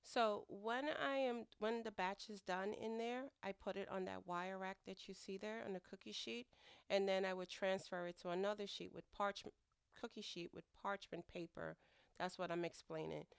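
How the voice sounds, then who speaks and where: A woman's voice: medium pitch, fairly even intonation, normal speed, creaky, smooth, no room sound, clean studio sound. A middle-aged woman narrating her home cooking in a chatty, rambling way, sounding nasal from a head cold. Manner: chatty, rambling, laughs at herself. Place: home kitchen.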